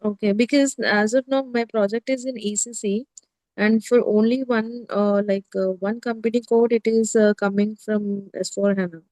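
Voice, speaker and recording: A woman with an Indian accent making a casual inquiry as a prospective student, in halting, hesitant phrases. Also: medium pitch, steady tone, a little mellow, no echo, rough recording.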